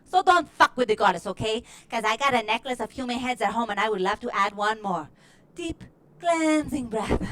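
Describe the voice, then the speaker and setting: A woman's voice: fairly high pitch, animated, normal speed, a bit bright, voice pushed down. A woman comedian voicing a vain, snippy new-age diva guru in a grandiose manner with a put-on, hard-to-place accent. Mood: boastful, haughty. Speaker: comedy performer. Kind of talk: character comedy monologue.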